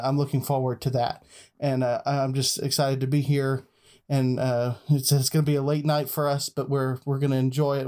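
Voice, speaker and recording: A man's voice: medium pitch, a little mellow. A young man from Texas, a guest on a podcast, speaking earnestly and hesitantly with many fillers and restarts. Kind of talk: casual discussion.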